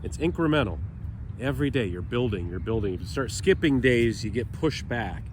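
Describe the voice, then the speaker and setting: A man leading a stretching routine as fitness instructor, cueing calmly and chatting in between, with a slightly stuffy nose, outdoors. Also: mid-range voice, brisk, noisy background. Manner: calm, chatty cueing with breathy sighs.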